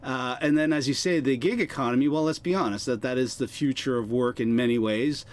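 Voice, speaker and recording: A man's voice: mid-range voice, a little fast. A man with a mid-range voice works as a show host. He talks conversationally, as in an interview, reacting and asking questions.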